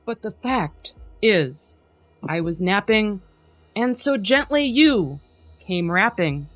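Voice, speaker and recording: A woman podcast host giving a dramatic poetry reading, trading lines with a co-reader in a measured way. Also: mid-range voice, expressive. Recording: slightly muffled with faint music.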